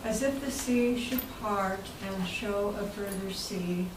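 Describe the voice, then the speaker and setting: A woman's voice: medium pitch, takes it slowly. A woman giving a prepared literary reading aloud at a club meeting. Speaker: guest reader at club meeting. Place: indoor meeting room. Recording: echoey room, distant mic, background noise.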